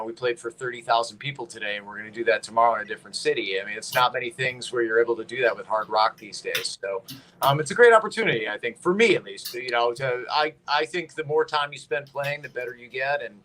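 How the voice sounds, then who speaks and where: A male musician guest in a casual interview, talking quickly and upbeat over a video call. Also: mid-range voice, a bit bright, a little hiss or hum.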